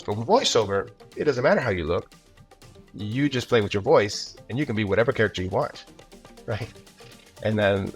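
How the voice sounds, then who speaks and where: A man chatting casually in an interview as a voice actor, animated and rambling, repeating words as he tells anecdotes. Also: lowish voice.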